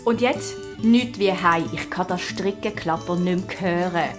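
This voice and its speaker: A woman playing a bright, impulsive girl detective with youthful slang in a German children's audio drama. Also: lowish voice, measured pace, music in the background, natural voice. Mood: happy, relieved.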